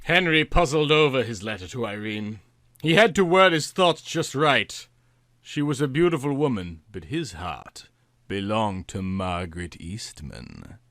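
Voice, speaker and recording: A man's voice: medium pitch, big pitch swings, unhurried, fairly bright tone. A man narrating a story in a theatrical, comic reading style, with dramatic, emphatic phrasing.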